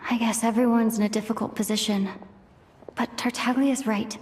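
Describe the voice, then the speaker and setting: A woman's voice: a little high, fairly even intonation, moderate pace, a little mellow, close to an everyday voice. An actress playing a thoughtful, dutiful young female adventurer in a video game, musing to herself in inner monologue. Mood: pensive. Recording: long reverb on voice, background music.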